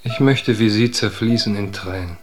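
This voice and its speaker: A man's voice: fairly low pitch, normal speed, noisy background, natural voice. A man playing a troubled knight who moves between bitter outbursts and weary grief. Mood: mournful. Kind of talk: radio drama acting.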